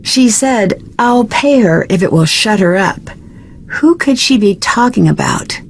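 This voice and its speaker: A woman's voice: mid-range voice, animated, a little slow, vocal fry, a little hiss or hum. An actress voicing an inquisitive, composed young female detective in a video game, asking direct, probing questions. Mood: curious.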